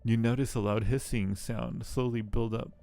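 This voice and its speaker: A man streaming a game, reading the narration aloud and commenting casually in a low-key way as he thinks aloud. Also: steady tone, moderate pace, vocal fry, warm tone. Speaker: live streamer playing a game.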